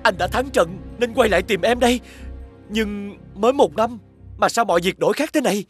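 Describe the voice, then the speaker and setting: A man voicing an earnest, brave young fairy-tale prince in an expressive children's cartoon style. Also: high-pitched, fairly quick, soft background music, lightly acted. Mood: joyful.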